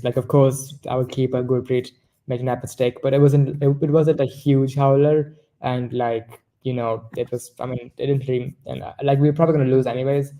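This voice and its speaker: A man's voice: medium pitch, gravelly, mellow, low-quality recording. A man co-hosting a casual football discussion, rambling and often correcting himself mid-sentence. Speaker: football podcast co-host.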